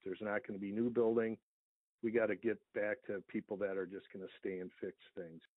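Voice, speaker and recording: A man's voice: on the low side, level tone, a little fast. An American man, a small business owner, earnestly explaining things at length in a conversational interview over the telephone. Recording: thin, echoey phone line.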